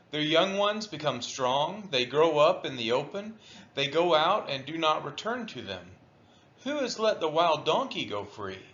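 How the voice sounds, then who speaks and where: A man reads scripture aloud as a devotional, with a bright, forceful voice. His delivery is slow and highly animated in pitch, declaiming each verse with deliberate expression. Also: dull audio. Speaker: scripture reader. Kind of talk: devotional Bible reading aloud.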